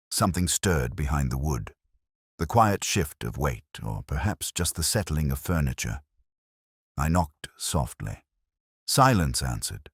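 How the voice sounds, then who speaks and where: A man narrating a first-person detective story in a measured, brooding literary manner. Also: extremely low pitch, breathy edge, no room sound, studio quality.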